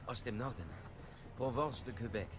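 An actor playing a jobless French-Canadian miner in a German video game dub, speaking with a French accent in a polite, grateful way. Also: male voice, mid-range voice, normal speed, noisy background, lightly acted. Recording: game audio with music behind.

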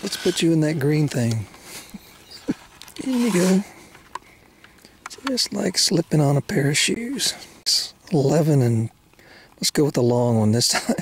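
An older man talks to a boat-mounted camera out on a lake, folksy and chatty, even greeting the fish he lands. His speech is slow and unhurried, set a little high. Faint outdoor noise sits under his voice. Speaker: fishing video host. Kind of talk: casual talk to camera while fishing.

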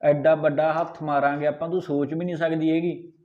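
A man's voice: on the high side, little pitch movement, brisk, darkish tone, muffled recording, natural voice. A man playing a greedy, irritable husband in a short film, scolding and argumentative with a Punjabi accent. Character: greedy, irritable husband, dismissive of his wife. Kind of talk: naturalistic short film dialogue.

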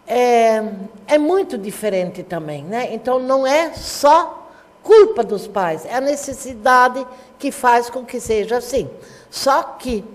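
An older woman, a retired teacher and historian, recounting memories in a TV studio interview with animated gestures. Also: on the high side, long reverb tail. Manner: warm storytelling, animated hand gestures. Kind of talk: reminiscing interview talk. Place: small TV studio.

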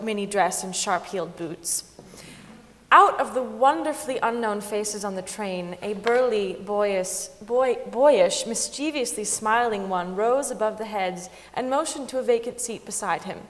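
A young woman giving a deliberate, expressive dramatic reading of a memoir on a concert hall stage. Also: mid-range voice. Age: young adult. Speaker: dramatic reader. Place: large wood-panelled concert hall stage, seated.

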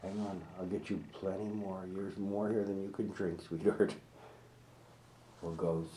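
A man talking softly to a kitten while he feeds it, often close to a whisper. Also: sits low, little pitch movement, measured pace, a little hiss or hum, low-quality recording. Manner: gentle, murmuring, often near a whisper. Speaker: pet owner feeding a kitten. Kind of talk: soft talk to an animal. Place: indoor room, at a pet cage.

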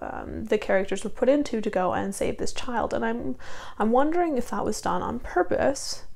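A woman's voice: a little high, moderate pace, vocal fry, a little mellow. A young woman with a cold reviewing a book in a casual vlog, rambling with many ums and restarts, recorded in a small room at a desk webcam. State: has a cold, gravelly voice. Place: small room in front of bookshelves.

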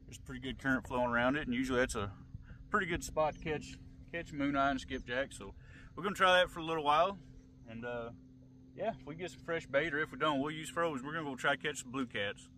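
An American man in his thirties chats casually in the manner of an outdoor fishing vlogger. His phrasing is folksy and clipped, at an ordinary male pitch. The camera mic picks him up from a distance, with heavy open-air noise around him. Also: fast talker, pushed and bright. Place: open boat on a wide river, outdoors. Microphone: camera at arm's length or across the boat. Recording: outdoor wind and water noise, often distant.

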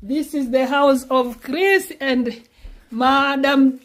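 A woman's voice: sits high, fairly even intonation, slow pace. A woman from Kenya, around her sixties, chatting to a phone camera at home, pleading and repeating her words. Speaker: vlogger. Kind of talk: casual vlog chat to camera. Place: small home, indoors. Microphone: phone camera held at arm's length.

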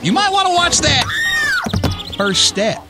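An actor playing a goofy, cocky young redneck survivor with a Southern accent and a folksy, blunt manner in a comedic radio play. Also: male voice, very high voice, wildly swooping pitch, takes it slowly, loud music behind the voice, heavily acted. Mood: breezy. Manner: folksy drawl, blunt wisecracking. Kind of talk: comedic radio drama acting.

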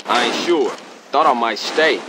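A man's voice: mid-range voice, normal speed, very noisy, natural voice. A man voicing a terse young street gangster. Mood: calm. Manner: laid-back, terse street talk. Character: young male street gangster returning home. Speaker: game character. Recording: game audio over loud explosions and gunfire.

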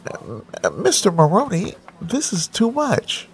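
A man's voice: high voice, huge pitch swings, a little slow, full character voice. A man playing a timid, servile informant who stammers deferentially in a comic crime drama. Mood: nervous, fawning.

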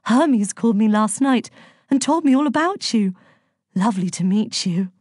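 A flirtatious, mischievous goddess of love from a storybook, voiced by a woman narrator. She trills sweetly in a high, sing-song voice, with a dry, close sound. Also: animated, normal speed, a little mellow, slightly rough, dry recording. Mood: sweet, adoring.

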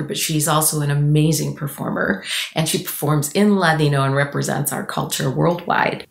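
A woman guest author telling stories in a podcast interview, with expressive, deliberate phrasing and emphatic pauses. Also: deep voice, vocal fry. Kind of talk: conversational storytelling in a podcast interview. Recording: roomy, echoing podcast audio.

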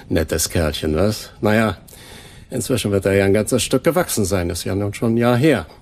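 An actor playing a chatty, self-satisfied newspaper archivist in a German radio crime drama, jovial and rambling. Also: male voice, lowish voice, expressive, normal speed, a little hiss or hum. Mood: jovial, pleased.

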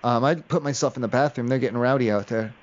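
A man's voice: medium pitch, fast talker. A man making an improvised prank phone call, rambling in an evasive, hesitant way. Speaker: prank caller. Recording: dull lo-fi call audio.

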